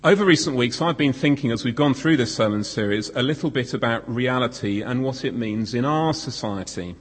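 A croaky man in his forties preaches in a conversational, self-deprecating way. His voice sits at an ordinary middle pitch. Long reverb from the church hall trails each phrase. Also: brisk. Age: 40s. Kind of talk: sermon.

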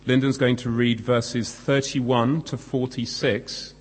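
Croaky-voiced man preaching in a hall. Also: medium pitch, flat delivery, takes it slowly, slight background noise. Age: 40s. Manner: self-deprecating, conversational. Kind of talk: sermon. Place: church hall. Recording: long hall reverb.

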